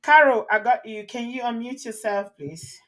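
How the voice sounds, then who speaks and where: A woman with a Nigerian accent hosting a call-in show, chatting casually and playfully with teasing, expressive interjections. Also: on the high side, normal speed. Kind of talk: casual chat with callers.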